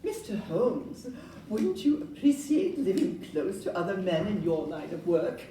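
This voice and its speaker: A woman's voice: mid-range voice, sing-song, unhurried, warm tone. An actress playing a polite, upbeat Victorian London estate agent in a stage audio play, genteel and salesmanlike as she glosses over flaws. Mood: glossing over flaws. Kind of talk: audio-play acting before an audience. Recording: roomy, distant live pickup, faint noise.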